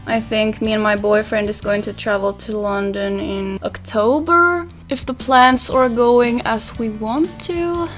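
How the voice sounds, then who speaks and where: A woman's voice: a little high, fairly even intonation, a little slow, hardly any pauses, a bit bright, faint music behind. A young woman from Finland, a native Swedish speaker, chatting casually through a makeup tutorial while answering viewer questions in a small bedroom. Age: young adult. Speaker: beauty vlogger. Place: small pastel bedroom, indoors.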